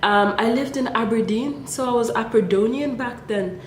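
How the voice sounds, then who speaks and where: A woman's voice: fairly high pitch, normal speed, slight background noise. A young woman from Nigeria chatting playfully to her viewers in a casual vlog, with laughs between remarks. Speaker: vlogger. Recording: echoey room.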